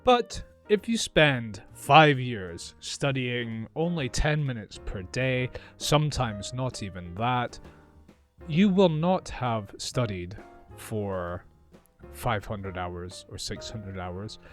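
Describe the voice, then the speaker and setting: Male English teacher, mild Scottish accent, very slow and deliberate, mid-pitched, soft guitar underneath. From northeast Scotland. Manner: calm, careful, deliberate explanation. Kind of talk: slow, clear teaching monologue.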